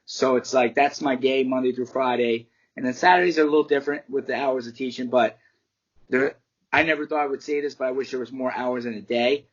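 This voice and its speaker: Candid New fighter, husky voice. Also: male voice, medium pitch, steady tone, a little fast, muffled recording. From United States. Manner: candid, rambling, swears casually. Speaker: MMA fighter and martial arts teacher. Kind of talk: casual interview answers.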